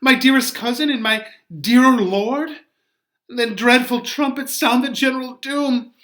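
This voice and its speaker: A man's voice: extremely high pitch, fairly even intonation, measured pace, fairly bright tone. A man voicing an anguished, passionate young Shakespearean lady, declaiming verse theatrically with fierce emotion. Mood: despairing.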